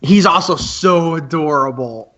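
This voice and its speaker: High-voiced earnest teenage boy radio host played by a man. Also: unhurried, pushed and bright. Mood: earnest announcing. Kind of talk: amateur radio play, mock school broadcast.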